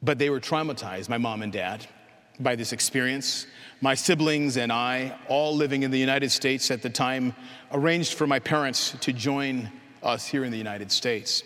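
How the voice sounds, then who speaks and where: A man's voice: mid-range voice, moderate pace, fairly bright tone. A man preaching a sermon, speaking earnestly and rising to impassioned proclamation. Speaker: pastor. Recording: long hall reverb.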